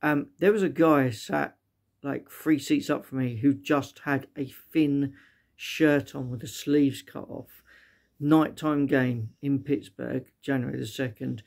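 British man with a creaky voice. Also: mid-range voice, steady tone, slow pace, darkish tone. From Britain. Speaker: vlogger reviewing stadiums as a fan. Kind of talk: casual unscripted vlog review. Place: small living room indoors.

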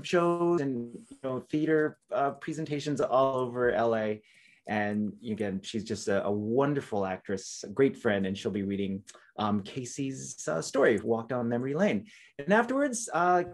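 A man's voice: mid-range voice, a little mellow. A middle-aged man hosting an online event in a casual, chatty way with joking asides. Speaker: host, moderator. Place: indoor room on video call.

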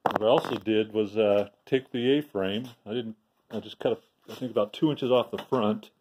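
A man with a lowish voice talks through a home project in his garage, filming on a handheld phone. He speaks plainly and without a script, restarting sentences and filling pauses with "uh" as he goes. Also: noisy background. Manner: plain, unhurried, with many restarts and "uh"s. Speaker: DIY video maker. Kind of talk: casual show-and-tell walkthrough. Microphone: handheld phone camera, speaker off screen.

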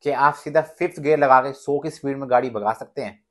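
A man's voice: medium pitch, brisk. A man, explaining things to viewers in an earnest, instructive way as a fitness vlogger. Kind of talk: explainer to camera.

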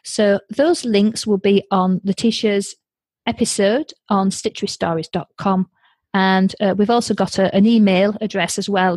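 A woman's voice: mid-range voice, a little mellow, husky, no room sound. A woman with a Yorkshire accent hosting a light-hearted podcast interview in a chatty, exclamatory way. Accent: Yorkshire English. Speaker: podcast host.

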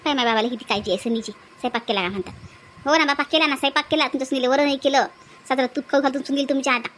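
A woman voicing a brisk, caring village woman in a cartoon, chatty and repetitive. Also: sits high, fairly bright tone, slight background noise. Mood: reassuring.

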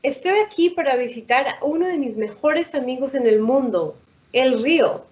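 A woman's voice: high voice, animated, a little fast, darkish tone. A woman co-reading a children's picture book aloud for a storytime over a video call. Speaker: storytime co-reader. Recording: dull lo-fi video call audio.

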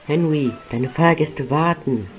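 A man's voice: fairly high pitch, takes it slowly, faint music behind, voice pushed up, low-quality recording. A man voicing an eager, earnest young male steam engine in a cartoon dub. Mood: urging. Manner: pleading, earnest.

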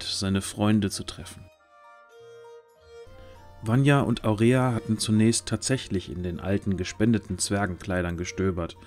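A man's voice: on the low side, a little mellow. A man narrating a scripted fantasy audio drama as its storyteller. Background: music.

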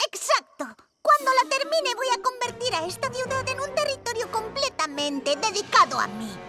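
A conceited boy genius villain, played by a woman, high and swooping in pitch. He schemes and boasts pompously in a Castilian accent, cartoon style, with music behind. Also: big pitch swings, moderate pace. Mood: smug. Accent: Castilian Spanish. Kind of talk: animated cartoon dub.

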